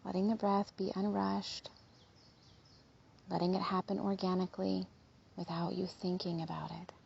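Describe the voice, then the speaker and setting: A woman leading a guided body scan meditation in a gentle, soothing, unhurried manner, with a soft, breathy, slightly husky voice. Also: on the low side, flat delivery, muffled recording.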